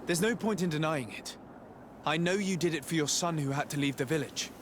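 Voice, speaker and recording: An actor playing a composed, firm envoy in a video game, polite, formal and direct. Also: male voice, fairly high pitch, brisk, noisy background, natural voice. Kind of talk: video game cutscene acting.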